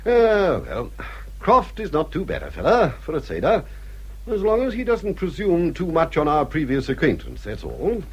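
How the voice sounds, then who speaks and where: Snobby old english baronet dad, pompous and blustery, pitch all over the place, radio period drama. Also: male voice, huge pitch swings, moderate pace, husky, dull audio, heavily acted. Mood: condescending, brisk. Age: older. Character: vain snobbish elderly English baronet and father.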